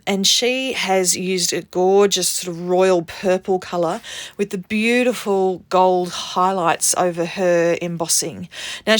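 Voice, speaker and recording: A woman's voice: medium pitch, no echo, clean studio sound. A woman with a stuffed-up nose from a cold, chattily narrating a casual craft tutorial as its host. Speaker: craft video host and cardmaker.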